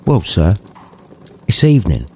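A man's voice: low-pitched, wildly swooping pitch, a little slow, mellow, slightly rough, full character voice. A man voicing a timid, nervous clerk in a spoken storybook. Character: timid meek male clerk.

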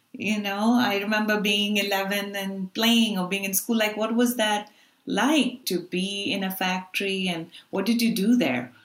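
Woman interviewer in an echoey room. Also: mid-range voice. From Pakistan.

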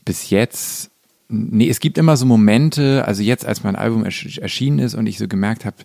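A man with a fairly low, gravelly voice talks casually as an interview guest. He is reflective and halting, circling back as he looks for the right word. The sound is close and dry. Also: mellow, dry recording. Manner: reflective, halting, searching for words. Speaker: guest musician. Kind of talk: casual conversational interview talk.

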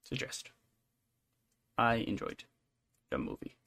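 A man talking casually as a podcast co-host, in a halting, rambling way. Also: mid-range voice, wildly swooping pitch, gravelly, a bit bright, husky. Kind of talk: casual chat.

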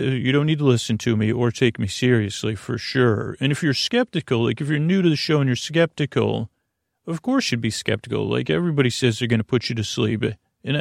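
A man hosting a bedtime podcast in a rambling, informal monologue, halting and meandering but reassuring and low-pressure, close to the mic. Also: vocal fry, no echo. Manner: halting, meandering, repeats and trails off mid-thought. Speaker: podcast host.

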